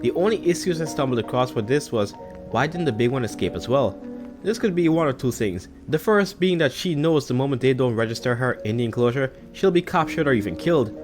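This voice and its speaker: A man's voice: mid-range voice, animated, fairly quick. A man giving casual, analytical fan commentary as a video host. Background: background music.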